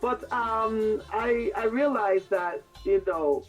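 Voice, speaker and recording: A woman with a British-tinged Jamaican accent, chatting as an interview guest in a studio, soft-spoken and halting, with many fillers and easy laughter. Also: a little high, soft background music, poor audio. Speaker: reggae singer, guest. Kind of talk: casual interview chat. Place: music studio.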